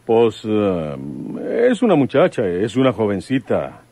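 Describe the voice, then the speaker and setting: A man's voice: lowish voice, huge pitch swings, takes it slowly, dark tone, dry recording, heavily acted. A man playing a fatherly, folksy rural police chief in an old radio drama. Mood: fond, hopeful.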